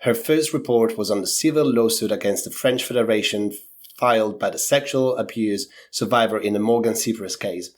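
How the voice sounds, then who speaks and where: A man's voice: on the low side, moderate pace. A man with a sore throat co-hosting a podcast, reading skating news in casual chat with some hedging fillers. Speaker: podcast co-host.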